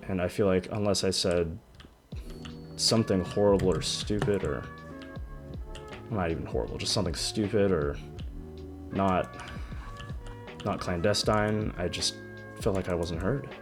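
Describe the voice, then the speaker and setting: A young man in his twenties with a deep voice, rambling hesitantly about his life in a personal vlog, often stopping and restarting. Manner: hesitant, halting, frequent restarts. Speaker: vlogger. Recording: music playing under the voice. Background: background music.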